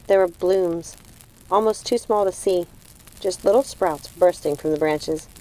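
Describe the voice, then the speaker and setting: A woman's voice: fairly low pitch, warm tone, poor audio. A woman reading a novel aloud as scripted storybook narration. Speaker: audiobook reader.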